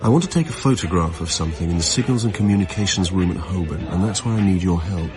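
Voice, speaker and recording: A man's voice: low voice, animated, fast talker, crowd noise. An actor playing a sly, condescending male schemer in a radio drama, casual and manipulative in manner. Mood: slyly persuasive. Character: smooth, condescending male schemer, slyly persuasive.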